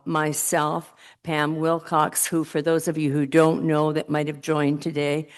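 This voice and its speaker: A woman speaking loudly to a church council meeting in a meeting room, chatty and given to joking asides. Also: deep voice. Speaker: committee presenter.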